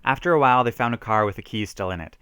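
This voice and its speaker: Man, lowish smooth voice, dramatic horror story narration, emphatic delivery, close dry sound. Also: racing, fairly bright tone, no room sound. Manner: emphatic, theatrical reading with occasional stumbles. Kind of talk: dramatic reading of a self-written horror story.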